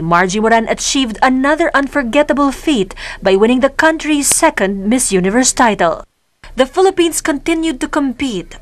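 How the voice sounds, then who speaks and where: A woman narrating a scripted news feature in a smooth, formal delivery. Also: fairly high pitch.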